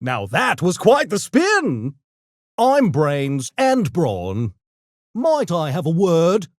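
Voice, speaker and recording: An actor voicing a smug, erudite genius gremlin for a video game, with pompous, mock-genteel, theatrical diction that tips into gleeful mania. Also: male voice, on the high side, wildly swooping pitch, slow, dry recording, studio quality, exaggerated voice. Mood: playfully pompous. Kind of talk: video game character voice lines.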